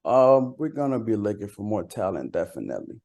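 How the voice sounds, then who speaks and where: A man speaking as a rapper being interviewed, chatting casually in a slangy, halting way. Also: fairly low pitch, big pitch swings, vocal fry, warm tone. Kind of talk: casual chat.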